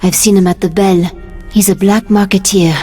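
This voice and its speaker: A woman's voice: mid-range voice, fairly even intonation, normal speed, mellow, husky, soft background music, lightly acted. An actress playing an earnest, strong-willed young Frenchwoman of the resistance, with a French accent, in a video game. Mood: concerned. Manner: earnest, insistent. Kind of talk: video game cutscene acting.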